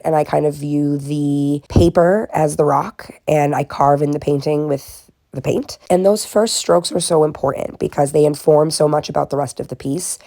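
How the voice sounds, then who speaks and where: A woman with a cold, her voice nasal and raspy, narrating her painting process in a candid, reflective voiceover. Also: low-pitched, mellow, dry recording. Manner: candid, reflective, self-deprecating. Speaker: art therapist and art vlogger.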